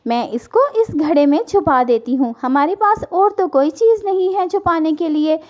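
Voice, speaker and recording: A woman's voice: high voice, lively intonation, normal speed, a little mellow. A woman voicing a hardworking little mother bird in a children's cartoon story, earnest and emotional. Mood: happy, relieved.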